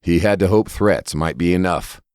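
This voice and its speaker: A man's voice: sits low, moderate pace, darkish tone, clear, resonant tone, dry recording, clean studio sound. A man reading a scripted horror story as its narrator.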